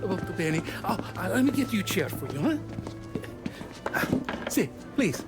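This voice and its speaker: Fawning, jovial Italian restaurateur, swooping intonation. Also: male voice, sits high, sing-song, measured pace, over loud music. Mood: obsequious, jovial. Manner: effusive, deferential, chuckling. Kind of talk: video game voice acting.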